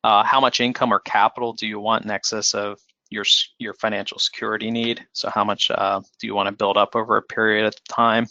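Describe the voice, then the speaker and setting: A man presenting a webinar as a financial advisor, explaining in a measured way with frequent fillers and restarts. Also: on the low side, flat delivery, sharp tone, no echo. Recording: dull lo-fi webinar audio.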